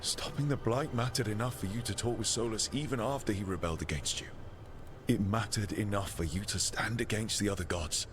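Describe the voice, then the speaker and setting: An actor playing an earnest, determined heroic leader in a fantasy video game, sincere and resolute. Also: male voice, lowish voice, a little fast, background noise, natural voice. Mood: persuasive.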